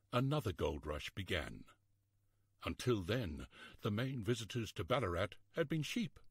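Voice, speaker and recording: A man reading a history storybook aloud in a measured, expressive, scripted narration style. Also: mid-range voice, slightly rough, no echo, studio quality.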